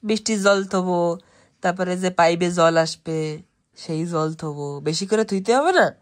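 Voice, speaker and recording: A man's voice: high-pitched, unhurried. A young man with an East Bengali accent, chatting casually with family as a home vlogger.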